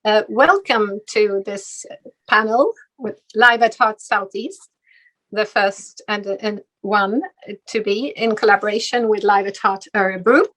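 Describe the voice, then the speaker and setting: A woman moderates a live panel at an event, introducing guests. She hesitates often, filling pauses with "uh". Her pitch sits in a middle range. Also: a bit bright, rough recording. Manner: hesitant, many fillers. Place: event stage.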